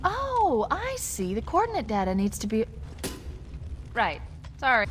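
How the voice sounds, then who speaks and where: A woman playing a sharp-witted, passionate military AI companion in a video game, speaking articulately. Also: fairly high pitch, wildly swooping pitch, unhurried, noisy background. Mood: somber. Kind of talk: cinematic video game voice acting. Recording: music playing behind the voice.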